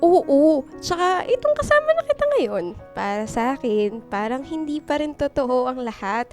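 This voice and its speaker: A woman's voice: high voice, sing-song, moderate pace. A woman playing a sweet, giggly, affectionate young girlfriend in a Filipino radio drama. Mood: giddy, loving. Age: young adult. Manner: cutesy, giggly. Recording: radio drama with music behind.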